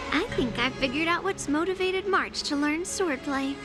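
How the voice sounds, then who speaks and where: A woman's voice: high voice, measured pace. A woman playing a confident, blunt young girl swordmaster in video game voice acting, frank and teasing. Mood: amused. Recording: game music behind the voice. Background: game background music.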